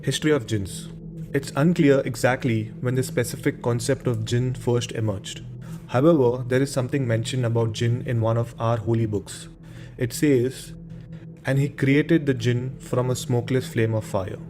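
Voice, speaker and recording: A man's voice: mid-range voice, fairly even intonation, normal speed, music in the background. A man with an Indian accent informally hosting a horror show and telling stories, often repeating words and phrases. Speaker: host of a horror radio show.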